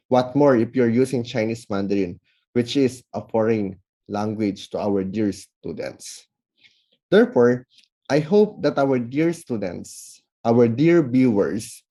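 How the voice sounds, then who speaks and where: A man giving formal, measured feedback as a judge, working through numbered points. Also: mid-range voice, dark tone. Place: online event recording.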